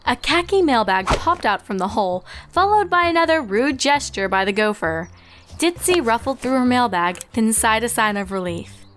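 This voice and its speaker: High-voiced woman narrating a story. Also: animated, normal speed, a bit bright, a little hiss or hum. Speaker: fan fiction reader and narrator.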